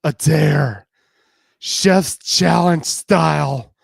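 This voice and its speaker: Man acting a flirtatious woman, romance audio drama, sultry, playful, raised pitch, gravelly creak, bold temper. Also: very slow, no room sound, studio quality, exaggerated voice. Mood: excited, daring.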